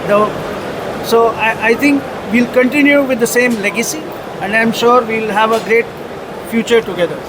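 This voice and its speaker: A man's voice: extremely high pitch, steady tone, normal speed. A man moderating a panel at a trade event, speaking with frequent hesitations as he introduces others. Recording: clear background noise.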